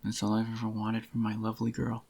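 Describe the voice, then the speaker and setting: A man acts out an obsessively devoted boyfriend in an ASMR roleplay. His voice is lowish and thick with creak, groggy and soft-spoken. He keeps an even, narrow melody as he murmurs tender, possessive endearments up close. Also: slight background noise. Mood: devoted, adoring. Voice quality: groggy, gravelly. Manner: tender, slow reassuring endearments to a lover.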